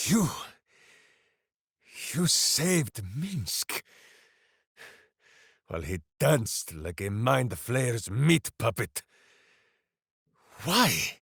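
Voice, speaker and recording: Boisterous, wildly animated heroic berserker. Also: male voice, lowish voice, very slow, creaky, pauses often, breathy edge, no echo, studio quality, full character voice. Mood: affectionate. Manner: grandiose third-person declamation, earnest and theatrical. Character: big-hearted, boisterous, simple-minded heroic berserker ranger. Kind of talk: video game character voice lines.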